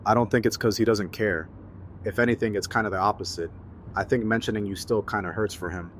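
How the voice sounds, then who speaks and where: A man's voice: lowish voice, fairly even intonation, a little fast, slight background noise, natural voice. A man acting an easygoing, friendly buddy with casual, slangy talk in an audio drama. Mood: gentle, frank. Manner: casual, slangy, laid-back.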